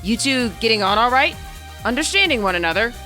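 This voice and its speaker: A woman's voice: a little high, animated, fairly quick, bright, forceful tone, soft background music. A woman voicing a confident young male champion, a showy big brother giving declamatory encouragement in a game story. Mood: proud, encouraging.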